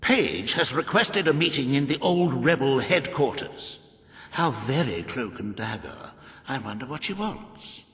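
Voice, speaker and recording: An actor playing a fussy, deferential royal servant in a video game, with a refined, precise, arch manner. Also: male voice, medium pitch, very animated, unhurried, fairly bright tone. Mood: dry, curious. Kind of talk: theatrical video game voice acting. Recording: long hall reverb.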